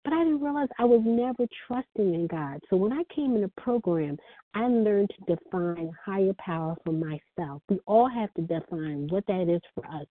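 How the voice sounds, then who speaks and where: A soft-spoken woman telling her personal recovery story as the speaker at a phone meeting. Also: fairly low pitch, dry recording. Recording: phone line.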